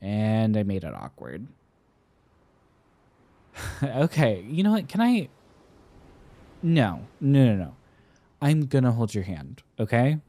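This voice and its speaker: A young man playing an affectionate, teasing sweetheart smitten with an old friend, speaking tenderly and haltingly in an intimate roleplay ASMR style. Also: medium pitch, wildly swooping pitch, mellow, dry recording, exaggerated voice. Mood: coaxing, tender. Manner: tender, playful, halting with pauses and repeats.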